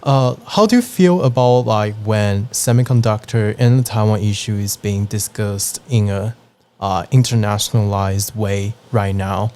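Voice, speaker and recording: A man's voice: lowish voice, a little slow, a little mellow. A man hosting a podcast, asking short curious questions and sometimes restarting them. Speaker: podcast host. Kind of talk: casual interview.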